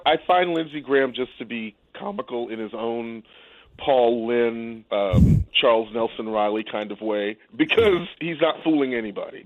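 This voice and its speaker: A man's voice: mid-range voice, no room sound. A man giving casual political commentary as a radio guest, talking in a wry, colloquial way. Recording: dry, phone-like narrow sound.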